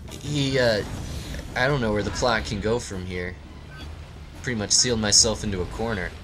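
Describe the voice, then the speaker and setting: A man improvising a mock fairy tale as commentary, with halting, theatrical narration. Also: mid-range voice, sharp tone. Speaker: let's play commentator and storyteller.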